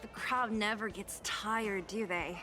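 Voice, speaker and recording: A woman's voice: medium pitch, slow pace, natural voice. A woman voicing a blunt, restless young woman in a game, talking in a weary, grumbling way. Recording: game audio with steady background noise.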